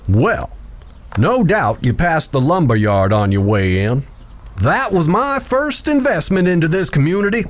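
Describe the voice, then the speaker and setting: A man playing a smooth, self-important saloon owner in a Western audio drama, speaking in a formal, courtly, measured way. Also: a little high.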